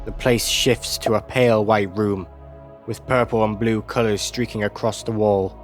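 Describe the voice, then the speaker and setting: A man's voice: fairly low pitch, fairly even intonation, moderate pace. Male video essay narrator over music. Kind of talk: scripted narration, recapping an animated series.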